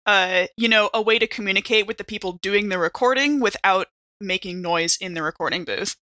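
Female tabletop player, bright crisp tone, dry close sound, casual table talk. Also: medium pitch, fairly quick, no room sound. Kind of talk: casual game-table chat.

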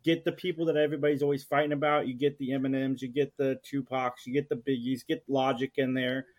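A man's voice: mid-range voice, little pitch movement, fast talker. Regular mid-range guy voice, podcast guest just chatting, got opinions. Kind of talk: casual chat.

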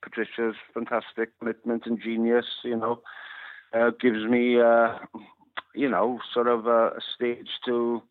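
A man's voice: mid-range voice, monotone, unhurried, no echo. A Welsh man in his fifties, a musician whose first language is Welsh, speaking unhurriedly with many you knows and uhs. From West Wales. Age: 50s. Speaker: musician guest. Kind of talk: interview over a phone line. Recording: dry, tinny phone line.

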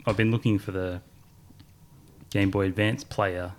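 A man's voice: low voice, steady tone, a little slow, slight background noise. A man co-hosting a podcast, rambling through casual chat about games and chuckling often. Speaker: podcast co-host.

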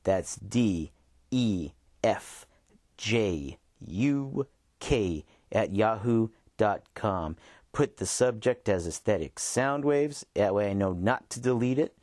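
Male podcast host, casual and plainspoken, unhurried delivery in a dry recording. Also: on the low side, animated, darkish tone, no room sound. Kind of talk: casual solo chat between played recordings.

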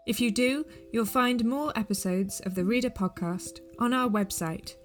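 A woman's voice: on the high side, moderate pace. Woman hosting a podcast over guitar music. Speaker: podcast host. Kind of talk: scripted podcast introduction. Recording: music behind the voice. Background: plucked guitar music.

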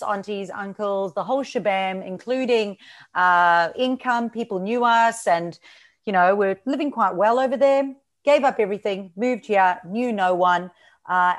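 A woman from South Africa with a mixed South African and Australian accent, chatting as a podcast guest with frequent ums and laughs. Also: mid-range voice. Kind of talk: casual interview chat.

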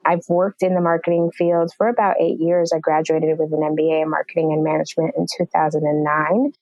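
Articulate woman guest, low dry voice. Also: darkish tone, no echo, rough recording. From New York, USA. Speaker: podcast guest, marketing executive. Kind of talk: interview answers.

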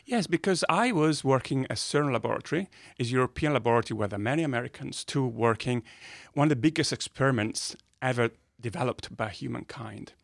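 A man with an Italian accent, a native Italian speaker, explaining science in a conversational interview with enthusiasm and pauses between phrases. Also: medium pitch, animated, vocal fry, no room sound, clean studio sound. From northern Italy. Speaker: guest physicist. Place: podcast studio.

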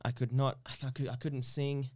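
A man's voice: mid-range voice, flat delivery, normal speed, darkish tone, no room sound, studio quality. A man from Uganda chatting casually as an interview guest, rambling and repeating himself with lots of "you know".

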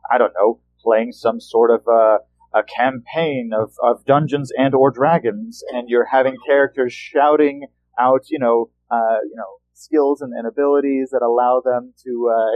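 A man's voice: mid-range voice, no room sound. Man explaining therapy over a tinny line. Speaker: therapist and podcast host. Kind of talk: explanatory podcast talk.

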